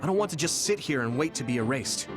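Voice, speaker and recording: A man's voice: mid-range voice, animated, fast, music in the background, natural voice. A man voicing an earnest, determined young hero in an English video game dub, speaking resolutely.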